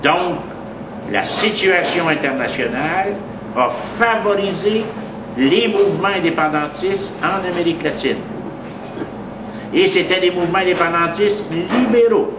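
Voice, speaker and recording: A man's voice: high voice, unhurried. Québécois man lecturing in an echoey room. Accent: Québécois French. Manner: digressive, restarts often, emphatic on key words. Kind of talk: lecture on history. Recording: echoey room, mic far off, background noise.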